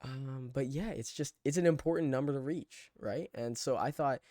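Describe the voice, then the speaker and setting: A young man of twenty talks in a casual, wandering way, like someone vlogging over a screen share. His pitch sits in the middle range for a man. He is self-deprecating and drifts off on side thoughts, recorded dry with very little room sound. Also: normal speed, darkish tone, no echo. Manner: self-deprecating, loose and digressive. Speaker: vlogger documenting a personal challenge.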